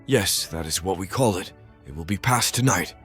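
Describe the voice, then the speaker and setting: A man's voice: sits low, animated, normal speed, a bit bright, slightly rough, exaggerated voice. A man playing a pompous, condescending owl politician with a menacing streak in an audio play. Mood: scheming, condescending. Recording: music behind the voice.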